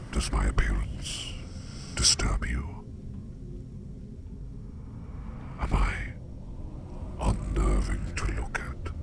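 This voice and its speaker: A man playing a curious, enigmatic sleep demon in a whispered ASMR roleplay, speaking in a hushed, deliberate and formal way with a breathy, gravelly voice. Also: extremely low pitch, full character voice. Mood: calm, questioning. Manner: slow, deliberate, hushed, formal wording with repeated phrases. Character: curious, enigmatic male sleep demon who walks dreams.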